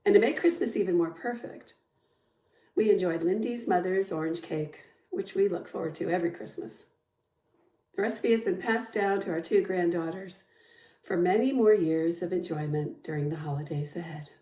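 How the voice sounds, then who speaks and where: A woman's voice: fairly low pitch, normal speed, dark tone. A woman reading a holiday memoir aloud as a storyteller, recorded in an echoey space away from the mic. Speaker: story reader. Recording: roomy, echoey, distant from the mic.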